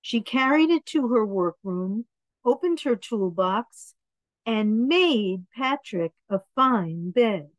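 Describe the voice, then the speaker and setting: A woman reading children's picture books aloud in an expressive way, adding asides about the pictures. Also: fairly high pitch, slow pace, dry recording, poor audio. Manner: expressive, acts out words and dialogue.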